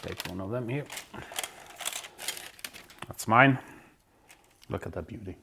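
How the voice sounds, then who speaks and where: A man from Belgium hosting his own cooking show, walking through a recipe in a relaxed, step-by-step way in a home kitchen. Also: on the low side, takes it slowly, vocal fry, background noise. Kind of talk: casual cooking demonstration.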